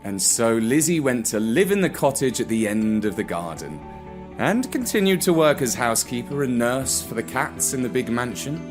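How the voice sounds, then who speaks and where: A man narrating a scripted children's story in an expressive, deliberate way. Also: medium pitch.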